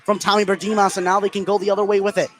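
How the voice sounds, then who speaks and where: Higher-voiced man calling live basketball, noisy background. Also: flat delivery, rapid-fire, a bit bright. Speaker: play-by-play commentator. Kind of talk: live basketball radio commentary. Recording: clear background gym noise. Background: gym ambience of a live game.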